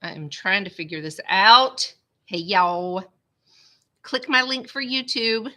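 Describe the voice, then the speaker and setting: A woman's voice: medium pitch, animated, takes it slowly, bright, forceful tone. A woman with a stuffy, congested nose, chatting casually to viewers on a live stream from her computer at home. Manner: chatty, rambling, says "y'all" often. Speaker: vlogger hosting a live stream. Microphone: small webcam.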